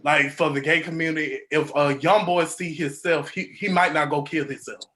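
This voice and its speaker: A man's voice: a little high, steady tone, normal speed, bright, forceful tone. A man hosting a casual podcast, earnest, often repeating words and restarting with uhs. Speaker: podcast host.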